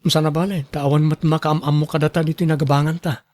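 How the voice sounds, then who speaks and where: Man acting an earnest young boyfriend, lightly high voice. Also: flat delivery, fast, darkish tone, natural voice. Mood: concerned, apologetic. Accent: Filipino. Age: young adult. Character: earnest young male student, apologetic, responsible boyfriend. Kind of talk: radio drama acting.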